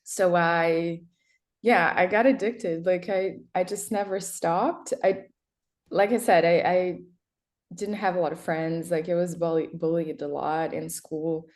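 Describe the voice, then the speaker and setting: Chatty woman, lower voice. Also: steady tone, unhurried, darkish tone. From Brazil. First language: Portuguese. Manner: chatty, laughs often, many fillers and restarts. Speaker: guest, erotic writer. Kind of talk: casual interview chat.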